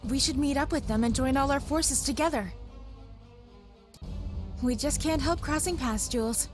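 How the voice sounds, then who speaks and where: Woman as a high-voiced young army leader. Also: normal speed, soft background music, natural voice. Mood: composed. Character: level-headed, determined young female cadet leader. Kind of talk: video game fan dub acting.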